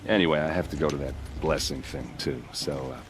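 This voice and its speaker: Charming, talkative game soldier: low-pitched, casual and wry, slight ambient noise behind. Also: male voice, brisk. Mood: flirty, awkward. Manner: casual, wry, conversational with hesitations. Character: charming easygoing male soldier, flirty and talkative. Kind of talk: naturalistic video game dialogue acting.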